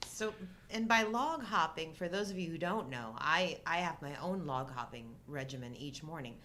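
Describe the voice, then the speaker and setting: A middle-aged woman co-hosting a live show, chatting casually about movies and joking in a deadpan way. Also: low voice, normal speed, slight background noise. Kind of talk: casual humorous movie-discussion chat.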